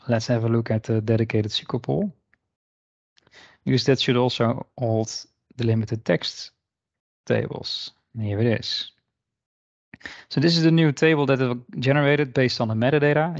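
A man narrating an unscripted screen-share software tutorial in an informal, explanatory way. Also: mid-range voice, dry recording, lo-fi sound. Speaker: software demonstrator. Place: indoor voice-over for screen recording.

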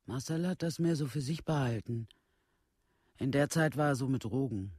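An actor playing a worried, worn-down village parent in a radio play, in plain, halting colloquial speech. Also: male voice, mid-range voice, expressive, a little mellow, slightly rough, no echo, clean studio sound. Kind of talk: documentary radio play monologue.

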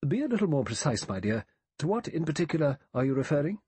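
A man acts out a righteous old English spinster for an audiobook. The voice is raised and husky, with animated swings of pitch, and the delivery is precise, brisk, dry and sanctimonious. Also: full character voice. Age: older.

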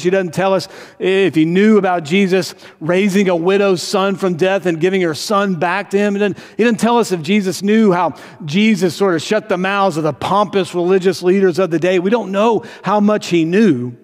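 An older male pastor delivers a sermon in a fairly high voice. He talks to listeners conversationally, checking in with questions and leaning slowly on key phrases. The sound carries the long reverb of a large church sanctuary. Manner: conversational, retelling with rhetorical questions and tag "right?". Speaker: pastor preaching.

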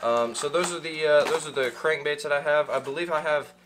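A man's voice: on the high side, steady tone, a little fast, noisy background. A young man hosting a fishing channel, unboxing gear in casual chat with lots of ums and uhs, seated in a small room. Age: young adult. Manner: rambling, many ums and uhs, restarts.

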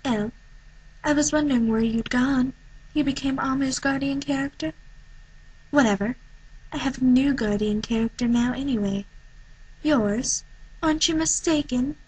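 A woman's voice: high-pitched, moderate pace, pauses often, darkish tone, slightly rough, low-quality recording. A woman voicing a haughty teenage girl rival who taunts and challenges, for an anime fan dub audition. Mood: cool, taunting. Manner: cool, taunting.

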